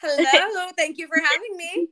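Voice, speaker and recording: A woman's voice: very high-pitched, moderate pace, sharp tone. A woman in her early thirties from New Jersey, chatting casually and ramblingly as a podcast guest. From New Jersey, United States. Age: early 30s. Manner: rambling, informal, frequent "like". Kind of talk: casual interview chat.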